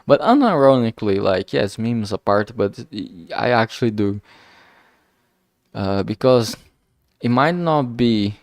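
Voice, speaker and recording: A man talking casually and reflectively on a solo stream, halting often as he searches for words. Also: lowish voice, no echo, studio quality. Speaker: indie game developer streaming.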